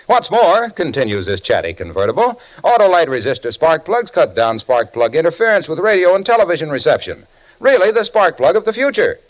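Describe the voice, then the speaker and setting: A male announcer playing an exuberant, folksy pitchman with playful sales patter in a vintage radio advertisement. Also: sing-song, brisk. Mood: eager selling. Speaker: commercial announcer. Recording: muffled vintage radio recording.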